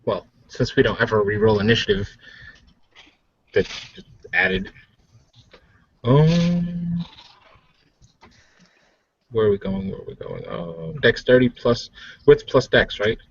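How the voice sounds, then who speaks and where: A man's voice: mid-range voice, huge pitch swings, slow, vocal fry, long pauses, husky, highs cut off. A man taking part in a tabletop roleplaying game as a player, talking casually. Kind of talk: casual chat during a game.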